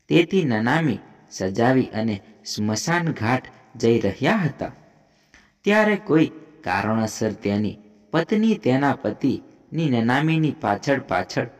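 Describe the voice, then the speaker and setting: Gujarati man narrating with lively, swinging pitch. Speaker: storyteller, channel host. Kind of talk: scripted narration of a devotional moral story.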